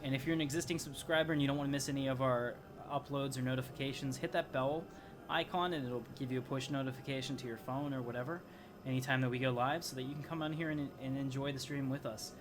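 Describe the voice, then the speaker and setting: A man's voice: mid-range voice, steady tone, quick pace, noisy background. A man hosting a game livestream, chatting casually to viewers and rambling as he thinks aloud while playing. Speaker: livestream gamer host. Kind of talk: casual live gameplay commentary, chatting to viewers. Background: game music.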